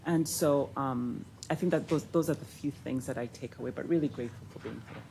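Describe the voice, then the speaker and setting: A woman's voice: extremely low pitch, fairly quick, creaky, noisy background. A woman from Uganda giving roundtable reflections as a pharmaceutical industry panelist, talking hesitantly with many fillers and restarts. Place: conference room.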